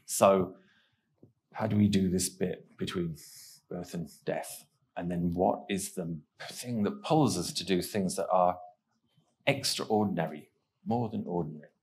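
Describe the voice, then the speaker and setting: A middle-aged man hosts a panel on a conference stage. He has a very deep voice and a musing, loose delivery full of uhs, caught by a headset mic. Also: measured pace, darkish tone, rough recording. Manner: musing, with fillers. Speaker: host. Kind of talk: hosting a panel.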